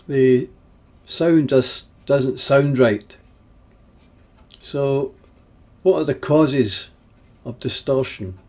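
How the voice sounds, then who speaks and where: A man in his seventies giving an explanatory technical lesson in a deliberate, halting way, with pauses between phrases and a husky voice. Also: mid-range voice, warm tone. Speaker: presenter of an instructional video.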